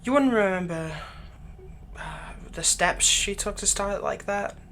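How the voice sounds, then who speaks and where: A man playing a doting, slyly manipulative villain captor in an intimate ASMR roleplay, speaking gently and coaxingly with unhurried pauses. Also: high-pitched, a little hiss or hum. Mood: gentle, attentive.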